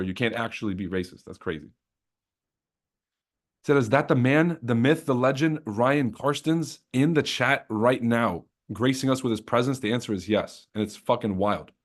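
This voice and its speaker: A man's voice: mid-range voice, normal speed. Casual, joking male trading streamer. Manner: loose, joking, swears freely, talks to chat. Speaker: trading livestream host. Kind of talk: casual livestream chat.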